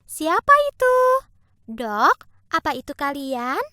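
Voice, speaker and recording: Gentle, polite young princess for a cartoon dub, voiced by a woman, very high and bright. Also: very animated, takes it slowly, no room sound, studio quality, heavily acted.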